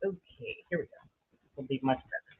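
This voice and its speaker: A woman's voice: very deep voice, a little fast, husky. A woman hosting a live stream, giving informal, explanatory commentary. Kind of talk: casual live commentary on played clips. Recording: thin phone-like band-limited audio.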